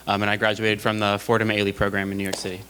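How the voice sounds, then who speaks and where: A man from Wisconsin, a professional dancer, answering a student question in a relaxed, thoughtful way with many ums. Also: fairly low pitch, very fast. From Madison, Wisconsin. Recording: hall reverb, background noise.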